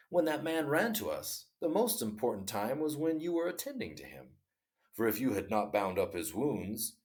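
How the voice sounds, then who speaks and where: A man's voice: medium pitch, darkish tone, lightly acted. A man voicing an old male hermit who patiently explains a moral lesson in a read-aloud story. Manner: patient, instructive, measured storybook reading.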